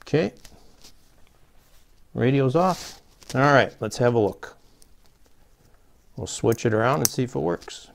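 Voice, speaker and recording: A middle-aged man with a gravelly voice gives a casual, folksy repair tutorial, talking through each step as he works. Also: mid-range voice, measured pace, pauses often, slight background noise. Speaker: host of a CB radio repair channel. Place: small plain indoor room, seated at a table.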